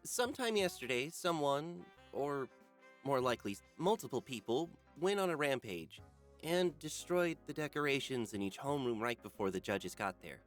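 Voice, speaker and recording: A man playing an earnest, ponderous schoolteacher who speaks with measured, dramatic pauses in a visual novel game. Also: mid-range voice, close to an everyday voice. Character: earnest, ponderous male schoolteacher addressing his class.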